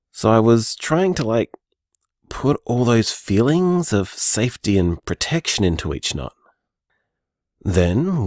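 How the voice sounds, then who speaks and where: A soft-spoken young magic-user who rambles and muses out loud, as a man voices him. His voice sits fairly low but swoops up and down with lively inflection. It sounds close and dry, with no room around it. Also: no room sound, full character voice. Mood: tender. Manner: chatty, musing, hedging with fillers. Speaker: bar owner practicing magic. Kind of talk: audio drama voice message.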